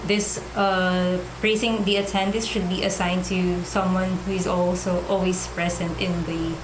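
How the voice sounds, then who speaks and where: Female, monotone, informal meeting talk, feeling out her point, clear background noise. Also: fairly low pitch, measured pace. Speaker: working group participant. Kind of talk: casual meeting discussion. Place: online group call. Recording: echoey room, noisy background.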